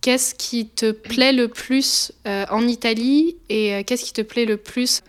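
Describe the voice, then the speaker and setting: A woman interviewer asking short, measured questions in a casual interview. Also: a little high, fairly even intonation.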